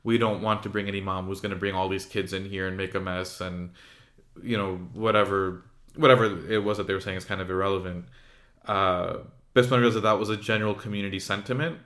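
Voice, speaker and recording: A man hosting a podcast, telling candid stories from his own experience in a relaxed, reflective conversation. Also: sits low, quick pace, reverberant. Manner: candid and reflective, retelling with quoted asides. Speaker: podcast host.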